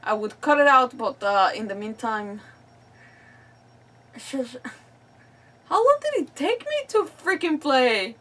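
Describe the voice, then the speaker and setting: A woman's voice: high-pitched, big pitch swings, measured pace, long pauses, a bit bright, far from the mic. A woman hosting a casual live stream, chatting in a rambling, apologetic, self-deprecating way. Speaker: livestream host.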